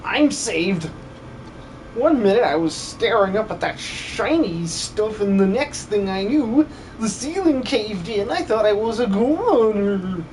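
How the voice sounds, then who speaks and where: High pitched excitable little video game critter, super gushy and theatrical, bit of background noise. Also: male voice, slow pace, poor audio. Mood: relieved, excited. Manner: gushing, theatrical. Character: excitable, grateful, treasure-loving little creature, squeaky and gushing. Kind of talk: video game fan dub.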